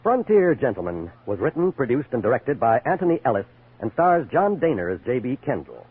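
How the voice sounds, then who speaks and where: A man's voice: medium pitch, sing-song, brisk, slightly rough. A man with an American accent reading scripted credits and announcements as a 1950s radio network announcer. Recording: muffled old radio broadcast, music behind.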